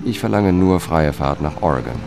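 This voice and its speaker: Low-voiced investigator narrating. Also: male voice, expressive, normal speed, over loud music. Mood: matter-of-fact narration. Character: wary male government lawyer turned amateur investigator, courteous. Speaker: first-person narrator and lead. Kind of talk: radio drama narration and dialogue.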